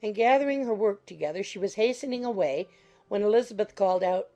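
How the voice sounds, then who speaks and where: A woman's voice: medium pitch, animated, quick pace, warm tone. A woman reading scripted literary narration as an audiobook narrator. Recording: faint music behind the voice.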